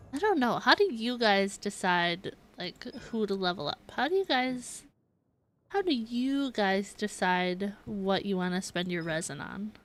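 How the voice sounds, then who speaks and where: A woman game streamer chatting casually, musing aloud and indecisively to her viewers. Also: medium pitch. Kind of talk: casual livestream chat.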